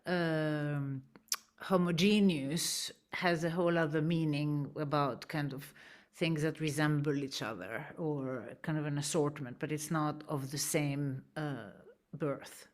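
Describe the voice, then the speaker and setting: A woman's voice: sits low, steady tone, measured pace. A woman whose first language is Greek, speaking thoughtfully in a class discussion and searching for her words. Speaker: student.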